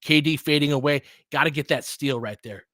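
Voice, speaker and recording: A man's voice: on the high side, brisk, pushed and bright, dry recording, studio quality. A man giving informal live basketball play-by-play with bits of analysis, in clipped, slangy, conversational phrases as a partisan fan. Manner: partisan fan of one team.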